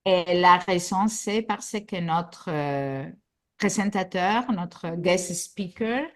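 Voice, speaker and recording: Woman host, slow and halting, lowish voice, many fillers, video call. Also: expressive. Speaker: webinar host, program director. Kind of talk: live webinar introduction.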